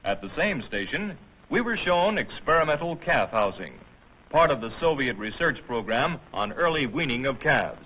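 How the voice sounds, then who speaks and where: American guy narrating an old 50s newsreel, formal and measured but lively pitch, thin tinny film sound. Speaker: documentary narrator. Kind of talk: scripted 1950s newsreel narration.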